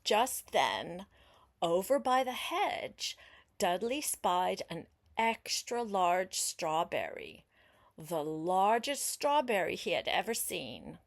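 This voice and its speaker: Older woman, grandmotherly storybook reader, very slow pace, lively pitch swings, chatty asides to a young listener. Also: creaky. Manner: unhurried, expressive, explains words and asks questions. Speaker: grandmother reading a picture book aloud to a child.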